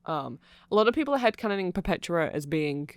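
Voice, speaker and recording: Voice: medium pitch, sing-song, quick pace, no echo. A performer voicing a nerdy vampire fan in a rambling, apologetic, digressive ASMR explanation. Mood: eager, chatty.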